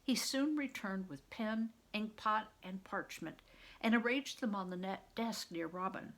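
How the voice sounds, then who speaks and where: A woman's voice: medium pitch, unhurried, a bit bright, low-quality recording. An older woman narrating a storybook aloud as a reader. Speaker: storybook reader, retired reading teacher.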